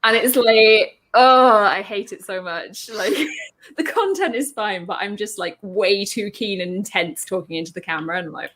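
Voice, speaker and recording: A woman video creator talking casually on a panel, with a relatively deep voice, laughing often and restarting her sentences. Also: moderate pace, fairly bright tone. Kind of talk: casual online panel chat.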